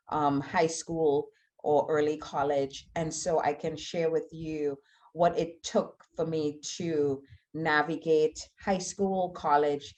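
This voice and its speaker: A middle-aged woman from Trinidad and Tobago, an aerospace engineer giving an online talk in an earnest, measured way with frequent fillers. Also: sits low, a little mellow. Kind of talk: online talk answering audience questions.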